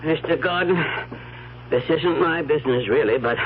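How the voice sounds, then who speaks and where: An actor playing an awkward, well-meaning building superintendent, hesitant and apologetic, in an old-time radio mystery. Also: male voice, on the high side, moderate pace, close to an everyday voice. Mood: awkward concern. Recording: dull, noisy old radio broadcast.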